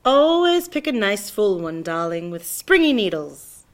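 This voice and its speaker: A woman voicing a grand, eccentric great-aunt in a children's storybook, affectionate but secretive, with a theatrical, drawn-out, imperious delivery. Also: mid-range voice, sing-song. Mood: grandly instructing. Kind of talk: children's storybook read-aloud.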